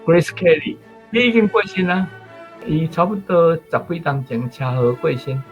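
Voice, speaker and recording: A man with a Taiwanese accent chatting casually and explaining things in a husky voice, often repeating himself playfully. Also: a little high, expressive, moderate pace, muffled recording, soft background music.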